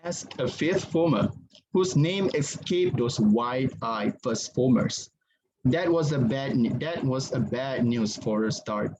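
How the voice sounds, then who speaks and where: A man's voice: mid-range voice, animated, takes it slowly, darkish tone. A man narrating a story as a dramatic reading. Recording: dull lo-fi sound, background noise.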